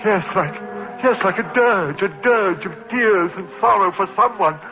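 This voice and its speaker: A man's voice: high voice, measured pace, over music. An actor playing a tormented male lecturer who narrates in a brooding voice and breaks into frantic cries as he slides into madness, in 1940s radio acting style. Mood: brooding narration. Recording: dull old radio recording with faint hiss.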